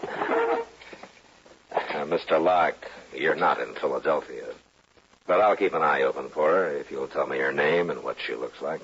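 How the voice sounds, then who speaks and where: Husky bass, weary frontier marshal, curt, dry-humored, 1950s radio western, dull vintage recording. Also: male voice, wildly swooping pitch, measured pace, pauses often, fairly bright tone, full character voice. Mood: dry, guarded. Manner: laconic, dry, patient but curt.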